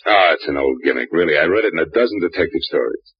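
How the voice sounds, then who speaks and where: A man's voice: low-pitched, animated, quick pace, slightly rough, no room sound, exaggerated voice. An actor playing a wry, hard-boiled male private detective in a vintage radio drama, speaking dryly and matter-of-factly. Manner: dry, laconic, matter-of-fact. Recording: dull, dry vintage radio broadcast.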